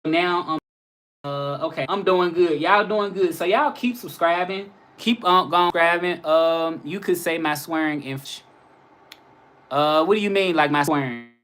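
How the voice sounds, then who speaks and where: A man's voice: fairly high pitch, unhurried, a bit bright. A man in his thirties from Memphis with a Southern accent, rambling and chatting casually with viewers on a live stream. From Memphis, Tennessee. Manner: rambling, chatty, repeats himself. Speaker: vlogger answering live chat.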